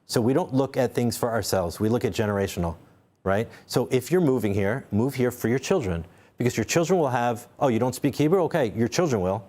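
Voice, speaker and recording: A man's voice: mid-range voice, animated, a little fast, a little mellow. A man speaking as a guest in a studio interview, restarting words often and then pressing his points with force. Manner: repeats words while searching for them, then speaks emphatically. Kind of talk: unscripted interview talk.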